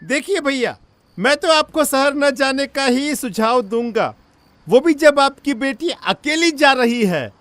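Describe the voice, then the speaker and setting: A man's voice: very high voice, lively intonation, slow, fairly bright tone, no echo, heavily acted. An actor playing an ominous, warning village man in a cartoon horror story, emphatic and foreboding. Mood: cautionary. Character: ominous, warning villager who fears a haunted bridge. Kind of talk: animated horror story acting.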